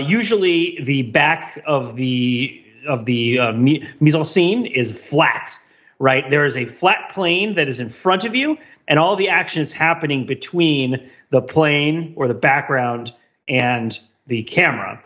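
Guy with a highish voice talking thru a movie on a podcast, wordy, thinks out loud, kinda dull lo-fi sound. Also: a little slow. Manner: wordy, thinking aloud with frequent repeats and "right?" tags. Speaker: podcast co-host. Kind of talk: casual film discussion, analytical.

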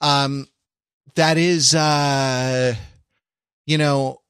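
Male podcast host, mid-pitched, dry close sound. Conversational, wordy, often rephrasing as he explains. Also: fairly even intonation, drawn-out words, fairly bright tone, smooth, no echo. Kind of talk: casual pop-culture discussion.

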